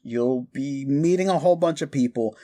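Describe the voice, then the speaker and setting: A man's voice: medium pitch, moderate pace, dark tone, lightly acted. A man playing a quirky, mischievous necromancer in a comedic audio roleplay, rambling eagerly and casually with slangy stammers. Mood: sheepish, reassuring.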